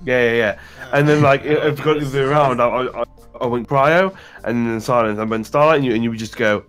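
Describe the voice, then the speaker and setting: A man's voice: mid-range voice, quick pace, soft background music. A man in a casual group chat telling a story and acting out both sides of it. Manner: lively storyteller, acts out exchanges. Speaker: stream participant in group voice chat.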